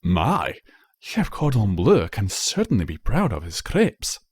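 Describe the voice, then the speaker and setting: A man's voice: lowish voice, huge pitch swings, unhurried, husky, no echo, clean studio sound, heavily acted. A man voicing a vain, self-satisfied stallion prince in a storybook reading, pompous and formal in manner. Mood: smug.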